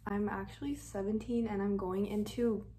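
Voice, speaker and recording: A woman's voice: mid-range voice, fairly even intonation, moderate pace, mellow, slight background noise. Chatty teenage girl vlogging at home. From Canada. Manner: chatty, upbeat, lots of "like" and "literally". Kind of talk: casual vlog chat to camera. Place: bedroom at home, indoors.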